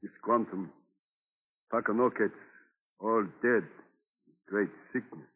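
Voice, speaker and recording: Slow, halting Native man, husky. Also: lowish voice. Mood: grave. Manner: halting broken English in clipped phrases. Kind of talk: 1940s radio drama acting. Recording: tinny, narrow-band 1940s radio broadcast.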